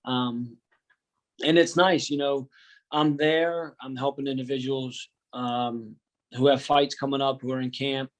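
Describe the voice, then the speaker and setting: A man's voice: medium pitch, flat delivery, unhurried. A man who coaches wrestling, chatting in an easygoing, joking way as an interview guest. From United States. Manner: easygoing, joking, uses slang. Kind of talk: casual interview.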